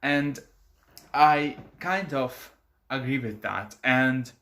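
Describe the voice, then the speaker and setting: A man's voice: mid-range voice, slow, pushed and bright. A young man for whom English is a second language, hosting his own channel with hesitant commentary full of filler sounds. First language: not English. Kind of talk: casual commentary to camera.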